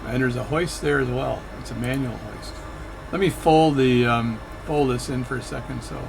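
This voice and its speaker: A man's voice: mid-range voice, moderate pace, vocal fry, background noise. A man narrating his flight sim session in a chatty, rambling way, often correcting himself mid-sentence. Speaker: flight sim video creator. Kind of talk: casual narration while playing a flight sim.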